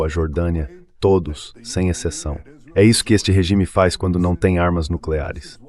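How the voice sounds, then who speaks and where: A man's AI-generated dub voice making a political argument as a commentator. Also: sits low. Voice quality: synthetic AI voice.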